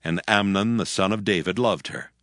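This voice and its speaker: A man narrating scripture in a steady, solemn reading for a dramatized audio presentation. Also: deep voice, normal speed, no room sound, clean studio sound.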